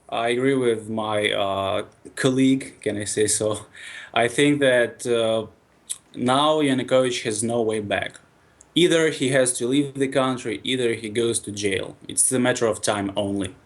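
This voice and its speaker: Man, mid pitch, earnest, lots of uhs. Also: a little slow. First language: Russian. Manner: earnest, hesitant with many fillers. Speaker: caller from Ukraine. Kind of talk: radio phone-in debate.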